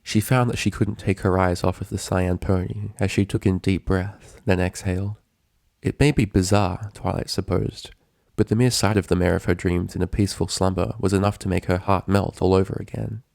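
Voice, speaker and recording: A man's voice: low-pitched, fairly even intonation, normal speed, creaky, darkish tone, no room sound, clean studio sound. A man reading a story aloud as a narrator, in scripted literary prose. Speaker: fan fiction narrator.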